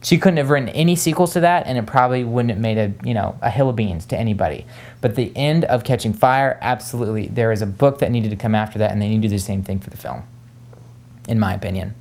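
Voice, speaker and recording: A man's voice: lowish voice, quick pace, a little mellow. A man co-hosting a casual book discussion, giving opinions emphatically and repeating phrases to drive points home. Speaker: co-host of a book discussion video.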